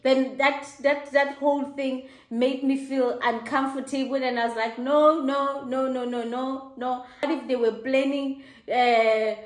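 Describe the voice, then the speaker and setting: A middle-aged South African woman hosting her own show, telling a personal story in an animated, laughing way. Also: unhurried. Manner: animated, laughing, high-pitched mock exclamations. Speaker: host. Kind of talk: casual storytelling to camera. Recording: echoey room.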